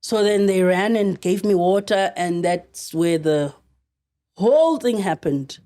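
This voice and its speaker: A middle-aged South African woman telling a story as a podcast guest, earnest and halting, often restarting her sentences. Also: mid-range voice, lively intonation, dark tone. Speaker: actress guest.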